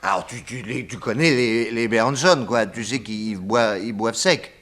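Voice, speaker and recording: A man's voice: lowish voice, a bit bright. An actor playing a genial, tipsy detective uncle who rambles and stammers through his tale in a 1960s French radio drama. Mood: evasive, rambling. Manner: hesitant, halting, stammering.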